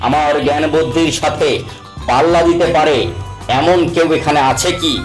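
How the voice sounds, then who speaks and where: A man's voice: a little high, steady tone, normal speed, close to an everyday voice, rough recording. Male actor as boastful village judge, pompous declaiming, scheming, cartoon dialogue, light background music. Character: boastful village judge, self-styled wise man, scheming. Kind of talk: cartoon character acting.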